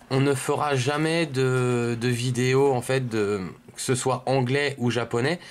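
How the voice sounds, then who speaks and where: A man from giving casual, hesitant, rambling unboxing commentary indoors at a table. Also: medium pitch. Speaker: video host, card collector.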